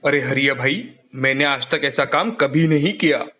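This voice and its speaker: A man's voice: mid-range voice, moderate pace, sharp tone, lightly acted. A man voicing a modest, helpful village carpenter in a storybook cartoon.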